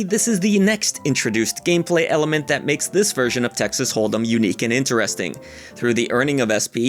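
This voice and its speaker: A man reading a scripted video game review as its narrator, over background music. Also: medium pitch.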